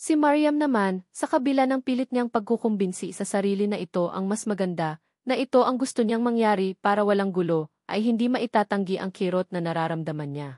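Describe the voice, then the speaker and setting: A woman's voice: medium pitch, darkish tone, dry recording, studio quality. A woman with a Filipino accent reads a scripted romantic drama story as its narrator.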